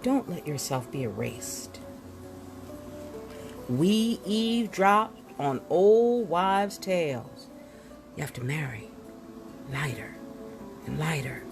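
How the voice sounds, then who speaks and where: A woman reads nonfiction aloud with a theatrical, deliberate delivery. Her pitch moves widely from phrase to phrase, and she takes her time, pausing for emphasis. Music plays faintly behind her. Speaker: book reader. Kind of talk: expressive read-aloud of a nonfiction book.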